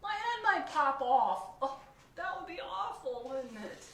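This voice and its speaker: A woman voicing an excitable, whiny male pigeon who begs and pleads melodramatically in a storybook read-aloud. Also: on the high side, measured pace, pitched above the performer's own voice. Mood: anxious. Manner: wheedling, begging, melodramatic. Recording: echoey room, distant mic, faint noise.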